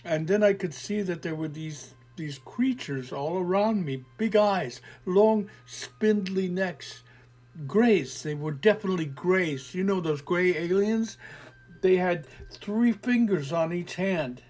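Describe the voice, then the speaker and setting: A man's voice: high-pitched, slow, darkish tone, soft background music. A man putting on a scared insistent teen girl. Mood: shaken recounting. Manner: pleading, defensive, swearing in frustration. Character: frightened insistent teenage girl claiming abduction. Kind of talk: audio short story reading.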